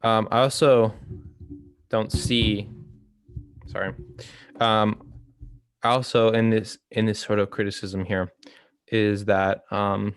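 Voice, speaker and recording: A young man co-hosting a casual discussion, talking in a hesitant, halting way. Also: lowish voice, flat delivery, clean tone, no echo. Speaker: co-host of a reading discussion.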